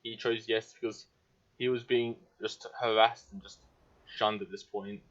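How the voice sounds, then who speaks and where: A man's voice: lowish voice, little pitch movement, measured pace, fairly bright tone, lo-fi sound. A man giving casual commentary on a game as a streamer.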